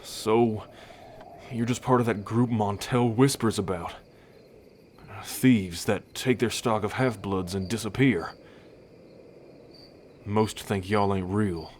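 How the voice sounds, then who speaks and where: A wary, wounded bull man acted by a man from a fantasy audio roleplay. He talks slowly and haltingly, guarded with every phrase. Night crickets and steady background noise sit behind him. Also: on the low side. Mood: doubtful. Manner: halting, guarded, hesitant delivery. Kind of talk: ASMR audio roleplay acting.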